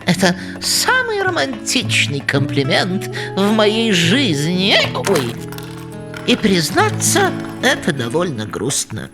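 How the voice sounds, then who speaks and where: A woman voicing a bossy, sentimental housekeeping owl in a cartoon, expressive and scolding in a singsong way. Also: mid-range voice, slow pace, heavily acted. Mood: touched. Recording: loud music behind the voice.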